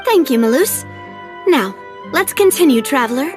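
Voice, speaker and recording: Young female boss, high voice, refined and sincere, measured game-cutscene delivery over music. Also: animated. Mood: bashful, cautious. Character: poised young lady leading a crime-fighting organization, earnest and polite. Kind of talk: video game cutscene voice acting.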